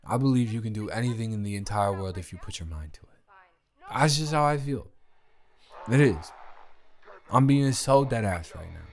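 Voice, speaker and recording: Man acting a low-voiced tipsy, lovestruck roommate. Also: lively intonation, normal speed, long pauses. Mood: earnest, lovestruck. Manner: stammering, rambling, repeating words, tipsy. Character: drunk young male roommate confessing love, sappy and sincere. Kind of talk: intimate roleplay audio drama, addressing the listener.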